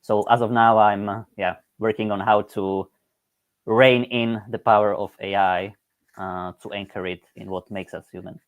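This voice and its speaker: A man speaks in a low, dry voice over a video call. He reasons in abstract, academic terms, stopping often on "uh" and adding "right?" to his points. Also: fairly even intonation, moderate pace, dry recording. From Eastern Slovakia. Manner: hesitant, many "uh" fillers and "right?" tags. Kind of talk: thoughtful academic talk.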